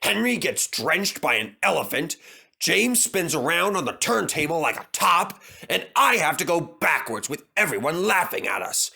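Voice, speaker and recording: A man's voice: on the high side, big pitch swings, moderate pace, gravelly, bright, forceful tone, husky, voice pushed up, exaggerated voice. A man voicing a proud, pompous big male steam engine with a haughty, complaining manner in a children's story. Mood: indignant.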